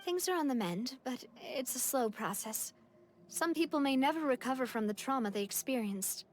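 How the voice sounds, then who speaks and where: A woman's voice: high voice, expressive, moderate pace, slight background noise. A woman voicing a poised, confident young woman in a game cutscene. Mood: earnest. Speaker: game character. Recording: game cutscene audio with faint music.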